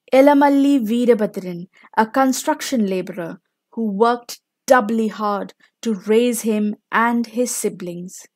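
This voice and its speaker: A woman with an Indian accent reading a children's story aloud in a measured, expressive, deliberate way. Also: on the high side. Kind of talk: scripted storytelling narration.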